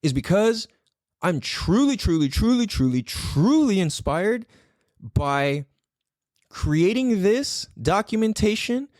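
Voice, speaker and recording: Male podcast host, fairly high voice, swears freely and repeats words for emphasis. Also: takes it slowly, no room sound, studio quality. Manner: excitable, laughs often. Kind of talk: casual solo podcast monologue.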